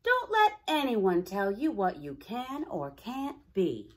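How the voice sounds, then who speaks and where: A woman's voice: mid-range voice, huge pitch swings, slow, full character voice. Woman reading as a caring female streetcar friend. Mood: reassuring. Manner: caring, reassuring. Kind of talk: children's storybook character voice.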